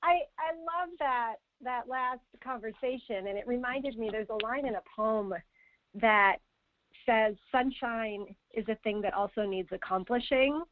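A woman's voice: on the high side, unhurried. A woman calling in to a live show, chatting casually and reflectively over the telephone. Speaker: caller. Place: phone call into a live show. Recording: thin, dry telephone line.

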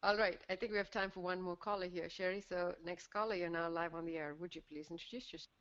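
Low-pitched woman on a dull call line. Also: fairly even intonation, fast, fairly bright tone. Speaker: call host. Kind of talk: conversational spiritual call-in hosting.

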